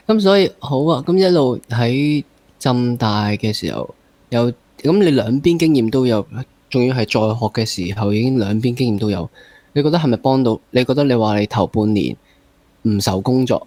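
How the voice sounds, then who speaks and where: A man with a Hong Kong accent hosting a casual interview, feeling his way through halting phrases with some English words mixed in. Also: medium pitch, animated, a little mellow, no room sound. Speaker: interview host.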